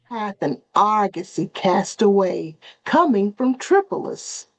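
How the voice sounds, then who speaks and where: A woman playing a sober male friend bringing news, reading Shakespeare in a measured, plain way. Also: mid-range voice, sing-song, no echo. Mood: sober reporting. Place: online video call reading.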